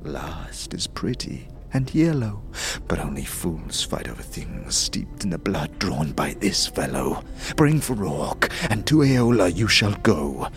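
A man playing a furtive, pleading cultist in an audio drama, urgent and repetitive, speaking in riddles and hushed whispers. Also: low-pitched, sing-song, slow pace, vocal fry, faint music behind, exaggerated voice. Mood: anxious, cryptic. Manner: urgent, repetitive, singsong rhyming.

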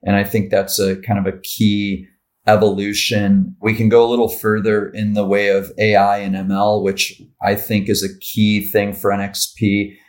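Deep, even-toned corporate man. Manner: measured, corporate, lists terms. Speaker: guest, marketing director. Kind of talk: podcast interview answers.

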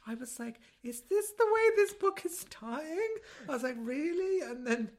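A man co-hosting a podcast, chatting casually and excitably, giggly and prone to acting out conversations. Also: very high-pitched, a little slow. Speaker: podcast co-host. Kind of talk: casual, jokey chat.

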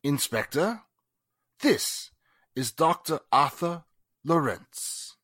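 Slow, stately radio-drama butler. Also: male voice, fairly high pitch, big pitch swings, fairly bright tone, no room sound, studio quality, full character voice. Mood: stiffly formal. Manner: formal, deferential, stately. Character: stiff, deferential male butler. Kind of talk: 1940s radio drama acting.

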